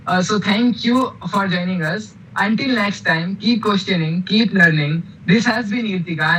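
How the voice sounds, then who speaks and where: A man's voice: high voice, sharp tone, a little hiss or hum, poor audio. A young man, a student hosting a podcast, reading his lines hesitantly with many fillers. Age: young adult. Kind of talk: reading an outro and questions.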